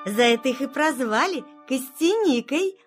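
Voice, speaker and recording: A woman voicing a fox in a children's forest audio play, with a gentle sing-song delivery. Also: high-pitched, lively intonation, moderate pace, faint music behind. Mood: gentle, reassuring. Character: small fox family member in a children's forest tale.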